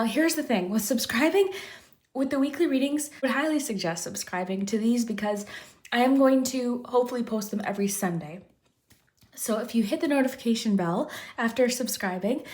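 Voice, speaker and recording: A woman talks her viewers through a weekly tarot reading in a loose, rambling way, with lots of filler and restarts. Her voice sits a little higher than most and sounds slightly hoarse, as if she is losing it. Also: darkish tone. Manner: chatty, rambling, frequent "kind of" and restarts. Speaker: tarot reader, channel host. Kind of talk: casual pick-a-card tarot chat to camera.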